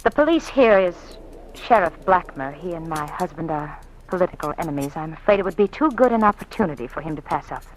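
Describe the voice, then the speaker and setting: A woman's voice: lowish voice, lively intonation, quick pace, lightly acted. An actress playing an anxious, secretive politician's wife in an old radio drama, hesitant and genteel in her speech. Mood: nervous, confiding. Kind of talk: 1940s radio drama acting, melodramatic. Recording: faintly noisy old radio recording.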